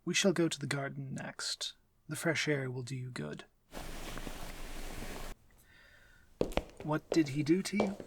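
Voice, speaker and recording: A man playing a courteous, protective, commanding vampire lord in an intimate ASMR audio drama, speaking softly in measured, formal phrases that often turn whispery. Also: fairly high pitch, a little hiss or hum, close to an everyday voice. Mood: concerned, reassuring.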